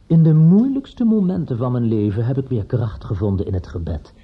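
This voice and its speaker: An actor in a radio play playing a solemn, pious older gentleman who consoles in an earnest, sermonising way. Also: medium pitch, expressive, normal speed, husky. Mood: pious consoling. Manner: earnest, sermonising, hesitant when upset. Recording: dull lo-fi radio play recording.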